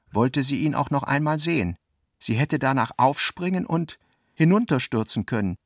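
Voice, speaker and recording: A man's voice: medium pitch, vocal fry, no echo, clean studio sound. A man with a Standard German accent reading scripted literary narration as the narrator of a radio production.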